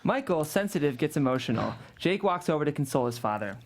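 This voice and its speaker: A man's voice: fairly high pitch, very animated, quick pace. A man reading scripted stage directions as the narrator of a table read.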